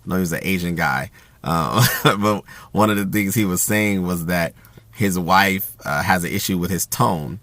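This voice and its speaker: A man talking casually about communication in an even, self-described monotone, with a loud voice that carries and plenty of fillers and restarts. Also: sits low, normal speed, no echo. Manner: rambling with frequent fillers and restarts. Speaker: host.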